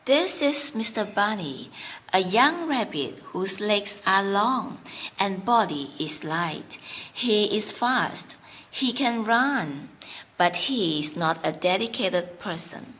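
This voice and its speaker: Slow, lively-pitched woman storyteller. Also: slight background noise. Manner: deliberate, careful phrasing in short chunks. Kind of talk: children's read-aloud storytelling and teaching.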